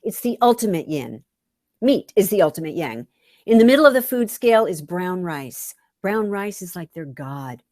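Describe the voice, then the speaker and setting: A woman reading her own first-person story aloud with wry, deliberate, dramatic phrasing. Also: fairly low pitch, big pitch swings, dark tone, no echo, studio quality.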